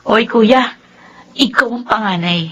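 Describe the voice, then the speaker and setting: An actress playing a bossy, stubborn young adult sister in a radio drama, sassy and challenging, with a Filipino accent. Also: female voice, fairly high pitch, slow pace, fairly bright tone, low-quality recording. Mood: scornful, challenging.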